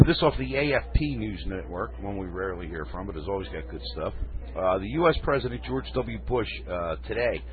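Man, radio talk host, hoarse, folksy rambling commentary, low voice, narrow lo-fi sound. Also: lively intonation, a little fast. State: losing his voice. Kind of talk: reading news and commenting. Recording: phone-like lo-fi radio.

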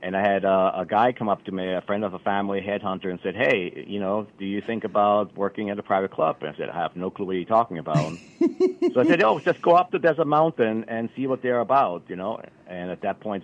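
Middle-aged male radio guest, low voice, easygoing and rambling, dull broadcast sound. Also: a little fast. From Austria. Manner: relaxed, rambling, many fillers. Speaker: country club general manager, guest. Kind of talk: casual radio interview.

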